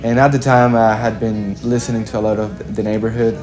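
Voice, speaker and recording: Low-voiced young man. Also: level tone, music in the background, poor audio. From Chile. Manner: reflective, halting, with frequent "like" and "kinda". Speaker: musician being interviewed. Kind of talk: casual interview answers.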